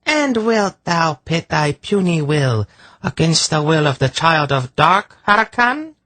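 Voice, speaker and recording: A cold, cruel sorceress, played by a male narrator, rasping with open contempt. The pitch is lifted well up and the archaic phrases are drawn out slowly, in fantasy audiobook style. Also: very animated, no echo, exaggerated voice. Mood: contemptuous. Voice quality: harsh, rasping. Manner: derisive archaic speech.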